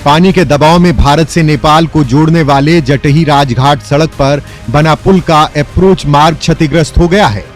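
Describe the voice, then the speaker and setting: A man's voice: on the high side, moderate pace, few pauses, soft background music. A man reading scripted news narration as a voice-over reporter in a formal manner.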